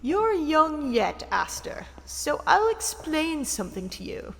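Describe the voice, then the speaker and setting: A woman's voice: high-pitched, big pitch swings, takes it slowly, vocal fry, fairly bright tone, exaggerated voice. A woman playing a cool, condescending guide in a sci-fi audio drama, formal and haughty in her delivery. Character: cool, condescending female guide of ancient tunnels. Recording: long hall reverb.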